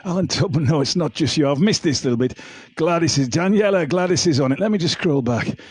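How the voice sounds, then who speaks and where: A man with a slightly croaky voice, hosting a casual livestream of rock and roll songs and chatty banter. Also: a little high, lively intonation, a little fast, darkish tone. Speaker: amateur singer hosting a livestream singalong. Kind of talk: casual banter with online viewers; live rock and roll singing with guitar. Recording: home livestream with music behind. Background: guitar and backing music.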